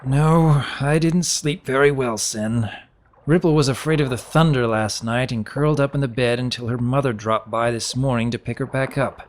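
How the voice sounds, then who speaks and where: A man's voice: medium pitch, moderate pace, pitched above the performer's own voice, lightly acted. A man voicing a young pony baron in a storybook, earnest, well-mannered and slightly awkward. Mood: awkward, distracted.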